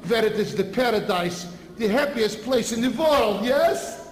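A man's voice: extremely high pitch, measured pace, voice pushed up. A man voicing a mocking Soviet soldier, in an echoey hall.

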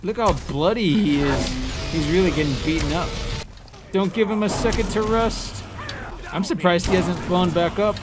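A man's voice: high voice, moderate pace, music in the background. A man giving casual, informal and sweary commentary as he plays a fantasy video game. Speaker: gaming video creator. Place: indoor room, webcam gaming setup. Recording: noisy, game sounds behind voice.

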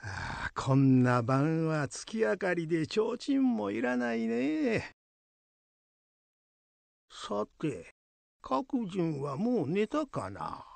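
A man playing a kindly elderly temple priest in a folk tale radio drama, musing in slow, old-fashioned speech. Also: high voice, wildly swooping pitch, gravelly, darkish tone, no room sound, full character voice. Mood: gentle, fond.

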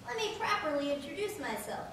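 A woman's voice: very high-pitched, very animated, brisk, a bit bright, a little hiss or hum, exaggerated voice. A woman playing a matter-of-fact male Secret Service agent in his thirties in a live 1940s-style radio play, recorded from across a mansion room. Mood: calm. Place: large historic mansion room with a live audience. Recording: echoey room from far off.

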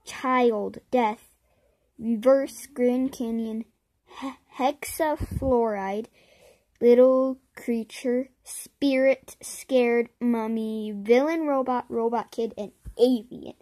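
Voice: mid-range voice, fairly even intonation, takes it slowly, mellow. A child hosting a casual video, trying out and showing a phone app. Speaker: video host.

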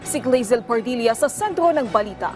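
A woman's voice: fairly high pitch, expressive, brisk, over loud music. A woman news anchor reading a story introduction in a television studio. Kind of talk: studio newsreading.

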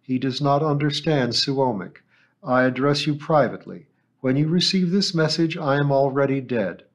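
A man's voice: medium pitch, moderate pace, voice pushed up, lightly acted. A male audiobook narrator voicing a polite, earnest teenage boy, who also recites a solemn memorized message. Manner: respectful, earnest.

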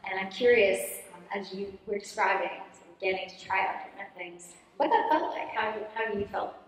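A woman's voice: mid-range voice, gravelly, slight background noise. Woman priest in a very echoey church. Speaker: priest interviewing parishioners. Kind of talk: gentle church interview. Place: large church sanctuary.

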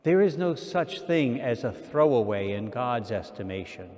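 A man in his sixties, an archbishop, preaching a sermon at a pulpit mic in a large church, slow and measured with repeated phrases. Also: medium pitch, lively intonation, darkish tone. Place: large church sanctuary, indoors. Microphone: stand mic at pulpit. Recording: long church reverb.

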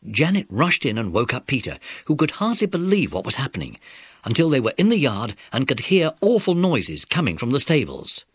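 A man's voice: mid-range voice, huge pitch swings, fairly quick, breathy edge, no echo. A British man narrating a scripted children's story.

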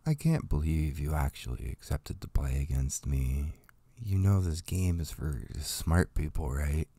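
A man's voice: very deep voice, a little slow, mellow, breathy edge, no room sound, exaggerated voice. A man voicing a smug, condescending chess player with a patronizing, needling manner.

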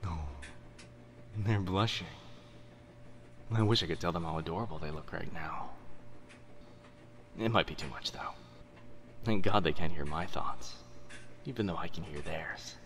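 A young man playing a teasing, kind-hearted telepath in a boyfriend ASMR roleplay, with hesitant, chatty talk and soft asides. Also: low voice, unhurried, pauses often, slight background noise. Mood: musing, fond. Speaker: voice actor in audio roleplay.

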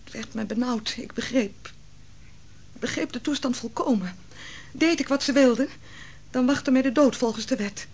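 A falsely accused young wife. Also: female voice, fairly high pitch, normal speed, pauses often, darkish tone. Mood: anguished. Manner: grave, recounting. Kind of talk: 1960s Dutch radio drama.

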